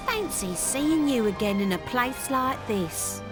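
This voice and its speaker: An actress playing a cocky young treasure-hunting thief in a video game, with an English accent and slangy, teasing talk. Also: female voice, fairly high pitch, animated, measured pace, music in the background. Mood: cheeky, boastful. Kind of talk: video game cutscene acting.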